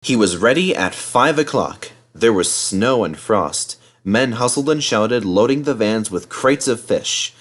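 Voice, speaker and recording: A man narrating a children's storybook in a measured, dramatised storytelling manner. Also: lowish voice, expressive. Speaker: storybook reader.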